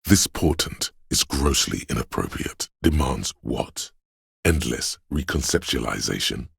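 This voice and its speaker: An actor voicing a gentle, perceptive inner voice of empathy in a video game, with solemn, measured, intimate narration in a gravelly, husky voice. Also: male voice, rumbling low, expressive, no echo, clean studio sound, heavily acted. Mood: grave, reproachful. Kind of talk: dramatic second-person game narration.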